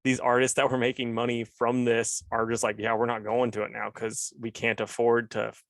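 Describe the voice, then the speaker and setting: A man's voice: mid-range voice, monotone, a little fast, no echo. A man co-hosting a podcast, chatting casually in a laid-back, rambling way. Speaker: podcast co-host. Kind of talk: casual chat.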